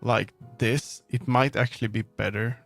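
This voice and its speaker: A man livestreaming, chatting casually with viewers in a rambling, halting way as he muses aloud. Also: medium pitch, fairly even intonation, creaky. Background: background music.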